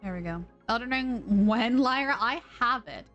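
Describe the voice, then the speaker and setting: A woman streaming a game, chatting casually and rambling as she thinks out loud. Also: a little high, animated, moderate pace, muffled recording, faint music behind. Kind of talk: casual live-stream chat.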